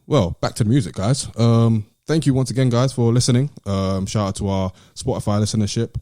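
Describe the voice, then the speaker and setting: A British man hosting a podcast in casual banter with friends, animated and teasing in manner. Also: fairly low pitch, a little fast, no echo, clean studio sound. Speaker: podcast host.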